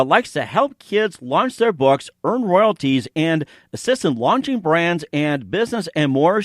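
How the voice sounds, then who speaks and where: A male show host with a fairly high voice that swings widely in pitch. He announces and interviews in an upbeat, showy, excitable style, recorded close and dry. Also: dry recording. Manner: excitable, showy introductions, repeats himself.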